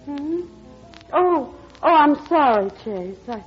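A woman's voice: high voice, animated. Wistful, guarded woman in old radio drama. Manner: halting, hesitant, softly earnest. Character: wistful stepmother with a guarded secret, gentle guardian. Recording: muffled old radio recording, music behind.

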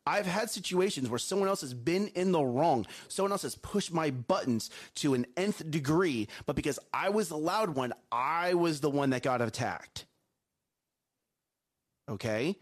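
A man co-hosting a morning show, a coach with a loud voice, giving emphatic advice and often checking in with "okay?" and "right?". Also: on the high side, expressive, a little slow. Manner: emphatic, repeats himself, asks "okay?" and "right?". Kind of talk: casual chat, preachy life advice.

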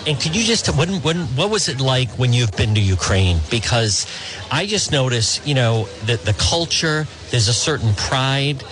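A man's voice: mid-range voice, big pitch swings, normal speed, noisy background. A man with a New England accent, a radio host asking a volunteer earnest, rambling questions while outdoors at a border crossing. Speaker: radio host reporting from abroad. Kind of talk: on-the-street interview.

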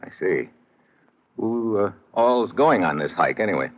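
An actor playing a tough, suspicious American undercover agent in a 1950s radio adventure, clipped and sardonic. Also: male voice, fairly low pitch, lively intonation, a little slow, highs cut off. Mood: wry. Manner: clipped, hard-boiled, sardonic. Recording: old radio recording with music behind.

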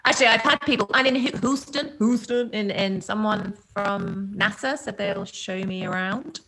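A woman's voice: medium pitch, normal speed, a bit bright, rough recording. A woman guest chatting on a podcast, giggly and chatty, often drifting off mid-thought. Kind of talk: casual chat.

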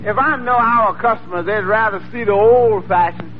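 An actor playing a wry, skeptical tavern waiter who drawls deadpan needling remarks in 1940s radio comedy. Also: male voice, sits high, normal speed, natural voice. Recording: muffled, noisy old radio recording, loud audience.

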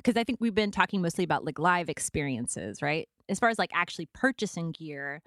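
A woman hosting a podcast interview, relaxed and encouraging, with quick affirmations. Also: on the low side, dry recording, studio quality. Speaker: podcast host. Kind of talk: casual interview.

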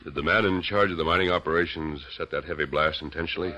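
An actor in an old radio drama playing a heroic masked rider with a firm, earnest, persuasive way of talking. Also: male voice, very deep voice, fairly even intonation, fast talker, bright, forceful tone. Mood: measured. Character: heroic masked male rider, calm commanding. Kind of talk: 1940s radio acting.

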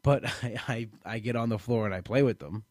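A man in his late thirties talks candidly about his own experience, stumbling over his words and starting sentences again and again. His voice sits at an ordinary middle pitch, and the recording is dry and close with no room sound. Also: darkish tone, no echo. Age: late 30s. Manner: halting, many restarts and repeated words. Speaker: weight-loss program member. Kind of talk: personal testimonial talk.

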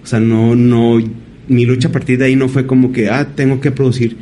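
A man, a painter as guest, telling stories in a thoughtful, halting way. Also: medium pitch, flat delivery, mellow, a little hiss or hum. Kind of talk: casual interview storytelling.

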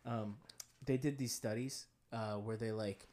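A man's voice: fairly low pitch, measured pace. Laid-back young man telling stories. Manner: relaxed storytelling, filler words, dry humor. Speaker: podcast co-host. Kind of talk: casual chat.

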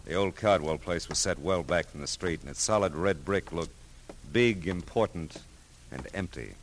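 An actor playing a wry, hard-boiled American private investigator in an old-time radio detective drama, with dry, wisecracking delivery. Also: male voice, sits low, moderate pace. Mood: wry, brooding. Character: wry, flirtatious hard-boiled male private investigator narrating his case. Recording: vintage radio recording with music behind.

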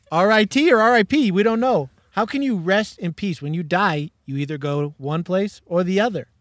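A young man co-hosting a casual podcast from a parked car, making deadpan jokes. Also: high-pitched, normal speed, dry recording, studio quality. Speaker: podcast co-host.

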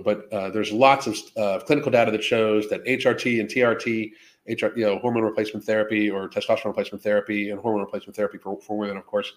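A man hosting a casual live Q&A, talking off the cuff in a blunt, heavily profane way. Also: on the low side. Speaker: live Q&A host. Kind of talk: casual unscripted livestream Q&A, reading and answering viewer questions.

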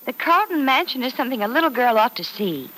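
A woman's voice: sits high, very animated, fast, sharp tone, heavily acted. An actress playing a trusting, good-natured, unworried young woman, light and indulgent, in old-time radio serial style. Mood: amused, dismissive. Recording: faintly noisy vintage radio recording.

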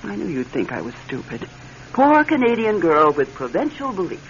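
Anxious, lively radio-drama wife. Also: female voice, on the low side, moderate pace. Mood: confessing, evasive. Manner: hesitant, emotional. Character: anxious, affectionate wife haunted by her late husband. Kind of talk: classic radio drama acting. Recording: dull, hissy old radio recording.

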